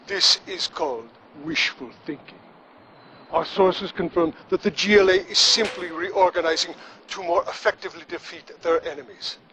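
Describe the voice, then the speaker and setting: A man's voice: sits high, normal speed, sharp tone, poor audio. An actor playing a solemn, partisan male war correspondent delivering a scripted news report in a video game. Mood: grave, scornful.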